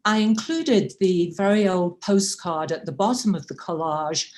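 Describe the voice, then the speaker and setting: A woman's voice: on the low side, unhurried, darkish tone. Welsh woman giving a chatty club talk. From rural North Wales. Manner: chatty, self-deprecating, frequent uhs. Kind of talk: personal presentation at a club meeting.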